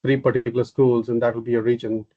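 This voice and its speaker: A man speaking as a psychiatrist on a podcast, in a flat, level voice that barely rises or falls. He explains things in a measured way and often repeats words. The sound is dry and muffled. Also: medium pitch, no room sound. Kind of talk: podcast interview answers. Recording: dry, dull, muffled audio.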